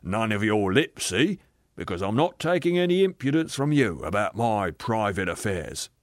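A male narrator plays a self-righteous working-class ghost in an audiobook. He blusters and sulks, leaning hard and slow on his grievances. His pitch moves about a great deal, and the sound is very dry. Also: very animated, dry recording. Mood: affronted, hostile. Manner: blustering, sulky, argumentative, drawn-out emphasis. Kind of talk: dramatised audiobook reading.

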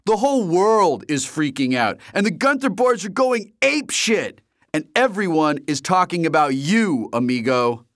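A man voicing an excitable young man, the narrator's loud, gushing best friend, shouting over the top with excitement. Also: on the high side, moderate pace, dry recording, clean studio sound, pitched above the performer's own voice. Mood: excited. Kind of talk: audiobook character voice.

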